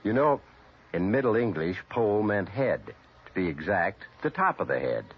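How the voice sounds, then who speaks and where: American guy radio presenter, husky voice, very animated, explaining stuff from a script, old radio. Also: lowish voice, normal speed. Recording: dull old radio recording.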